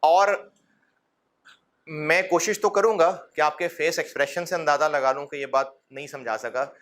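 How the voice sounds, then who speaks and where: Bright, fatherly lecturer man. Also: on the high side, normal speed. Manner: fatherly and encouraging, addresses students as son; rhetorical questions, gentle humor. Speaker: accounting lecturer. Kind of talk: classroom lecture. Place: classroom.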